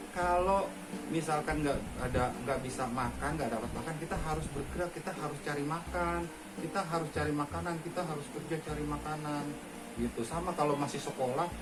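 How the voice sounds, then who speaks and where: A man telling a fable to his children in a chatty, halting way, full of fillers. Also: a little high, background noise. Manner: chatty, halting, many fillers, addresses listeners as friends. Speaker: father telling a bedtime-style story to his children. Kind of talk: casual storytelling.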